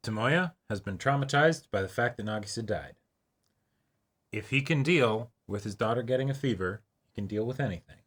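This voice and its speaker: A man's voice: medium pitch, lively intonation, moderate pace, fairly bright tone, breathy edge. A man co-hosting a reaction show, chatting casually with wry, joking remarks.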